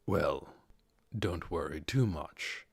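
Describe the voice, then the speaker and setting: A man voicing a composed, commanding undead overlord with a measured, authoritative manner, in an audiobook. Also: low-pitched, expressive, vocal fry, breathy edge, no echo, studio quality, pitched below the performer's own voice, full character voice. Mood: measured, calm.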